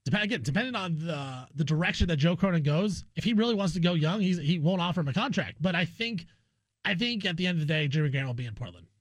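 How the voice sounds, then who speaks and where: A man's voice: fairly high pitch, lively intonation, fast, no room sound. An American man co-hosting a sports talk show, giving his opinions casually and emphatically. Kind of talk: casual sports radio discussion.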